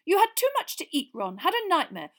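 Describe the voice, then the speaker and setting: Woman as pompous, officious older schoolboy, raised pitch. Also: wildly swooping pitch, fairly quick, a bit bright, heavily acted. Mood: dismissive. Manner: pompous, indignant. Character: pompous, officious older teenage boy, self-important prefect.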